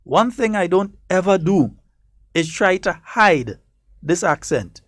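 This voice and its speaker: A man's voice: high-pitched, lively intonation, slow, no echo. Trinidadian grenadian accent, male host, emphatic, defiant, dry studio sound. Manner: emphatic, defiant, repeats for effect, chuckles. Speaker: talk show host. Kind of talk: studio political talk. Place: radio studio.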